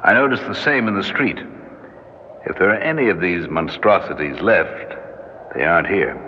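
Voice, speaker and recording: A very deep-voiced alien doctor of science, played by a man, detached and deliberate. He explains things in a measured, pedantic way, as in classic radio drama. The recording is muffled and hissy. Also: close to an everyday voice. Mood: grave musing. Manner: measured, pedantic, hesitant at times. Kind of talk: old-time radio drama acting.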